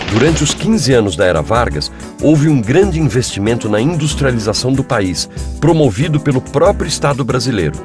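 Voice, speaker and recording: A Brazilian man delivers scripted history narration in the manner of an educational documentary. His voice sits at an average male pitch, and music runs clearly behind it.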